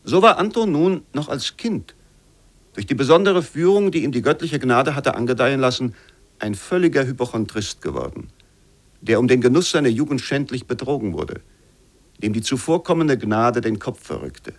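A man narrating scripted literary prose for a radio play in a measured, formal manner. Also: medium pitch, lively intonation.

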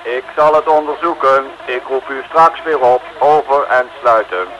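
An actor playing a dutiful patrol radio operator over two-way radio in an old Dutch radio serial, clipped and businesslike. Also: male voice, mid-range voice, moderate pace, fairly bright tone. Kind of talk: 1950s-60s Dutch radio serial acting. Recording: muffled, faintly noisy radio transmission.

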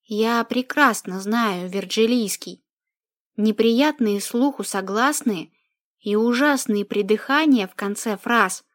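A woman narrating first-person fiction as an audiobook, with a measured, expressive reading. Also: on the high side.

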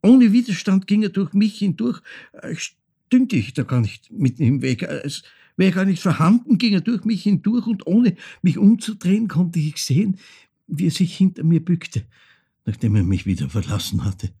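An actor with an Austrian accent playing a haunted older man who recalls his childhood in a halting, intense dramatic monologue, his voice husky and gravelly. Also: sits high, sing-song, dark tone, heavily acted. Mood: agitated, awed. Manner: halting, intense, dropping into near-whispers. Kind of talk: dramatic first-person literary monologue.

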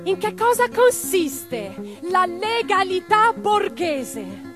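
A woman's voice: very high voice, very animated, slow, over music, exaggerated voice. An actress playing an impassioned, reflective female socialist revolutionary in a theatrical radio drama monologue, with a dramatic, declamatory delivery. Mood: fiery, oratorical. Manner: declamatory, dramatic, swinging from hushed to forceful.

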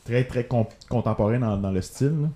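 A man's voice: mid-range voice, fairly even intonation, a little fast, dark tone, echoey room. A man from Quebec City with a Québécois accent, chatting easily on a podcast. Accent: Québécois French. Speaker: podcast co-host. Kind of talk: casual chat.

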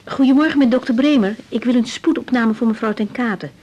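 A woman playing a young, harried doctor in a radio drama, expressive and full of questions, emotional and insistent. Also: a little high, fairly quick, dull audio, slight background noise, close to an everyday voice. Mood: businesslike, insistent. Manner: expressive, questioning, stammers when upset. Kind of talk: 1980s radio drama acting.

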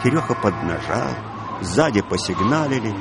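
A man narrating a literary radio play in an expressive storytelling manner, with music behind him. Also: lowish voice, moderate pace.

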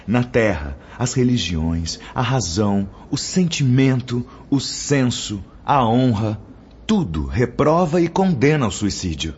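A man's voice: medium pitch, expressive, measured pace, darkish tone, a little hiss or hum, natural voice. A man acting a stern spirit mentor in a radio drama, admonishing with solemn, oratorical declamation and rhetorical questions. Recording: dull lo-fi audio with music behind. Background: background music.

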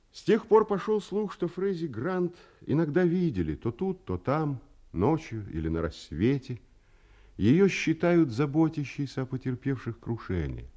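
Mellow, expressive male storyteller. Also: mid-range voice. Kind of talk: storytelling in an audio drama.

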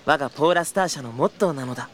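A man's voice: on the high side, racing, fairly bright tone, lightly acted. A young man in his twenties voicing an earnest new trainee who narrates and answers politely, a little flustered, in an audio drama. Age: 20s. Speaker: trainee tour guide, narrator and lead.

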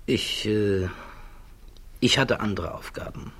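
A male actor in a 1960s German radio drama playing a troubled, thoughtful physicist who testifies in a hesitant, measured way. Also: fairly low pitch, vocal fry, fairly bright tone, breathy edge, heavily acted. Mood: pensive, troubled.

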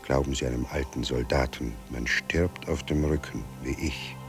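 A delirious, dead writer and old soldier in a radio drama. The voice is extremely low and creaky, dragging and halting as it broods, with faint music behind it. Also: male voice. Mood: solemn, remembering. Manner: slow, halting, brooding delivery.

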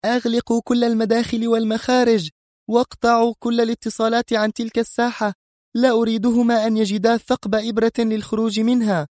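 Man acting female spy commander, high-pitched, stern, short-tempered, commanding, audiobook character. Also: level tone, fast talker, no echo, clean studio sound. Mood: furious, commanding. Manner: sharp, commanding, strained.

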